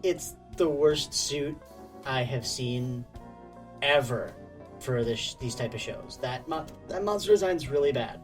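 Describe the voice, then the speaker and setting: A man's voice: mid-range voice, unhurried, far from the mic. A man co-hosting a podcast with casual, crude, joking banter and plenty of swearing. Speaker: podcast co-host. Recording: slightly off-mic, music behind.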